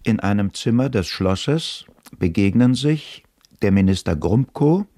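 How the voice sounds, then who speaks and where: A man's voice: lowish voice, expressive, unhurried, warm tone, dry recording, clean studio sound. A man narrating a radio play, reading scripted scene settings in a formal way.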